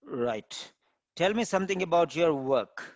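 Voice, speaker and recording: A man's voice: fairly high pitch, lively intonation, no echo, dull audio. Man as formal mock examiner. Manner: formal, measured questioning. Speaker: IELTS trainer playing examiner. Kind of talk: mock exam interview.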